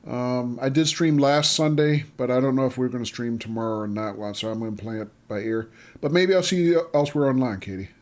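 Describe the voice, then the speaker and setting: A man's voice: medium pitch, a little fast. A man streaming a game live, chatting casually with viewers, reading out their messages and greeting them by name. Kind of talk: casual livestream chat while playing.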